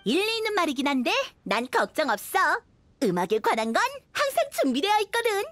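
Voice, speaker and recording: A woman playing a spirited, upbeat young woman musician in a video game, friendly and expressive. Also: sits high, brisk.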